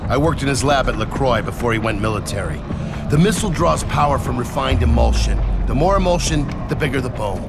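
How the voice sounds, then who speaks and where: An actor playing a cocky, brisk young army lieutenant in a video game cutscene. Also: male voice, medium pitch, expressive, over loud music, natural voice. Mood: matter-of-fact.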